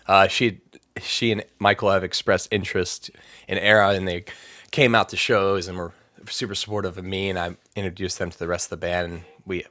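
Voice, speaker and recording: A man talking casually in an interview, relaxed and halting, often restarting his sentences. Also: low voice, dry recording, studio quality. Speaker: guest vocalist.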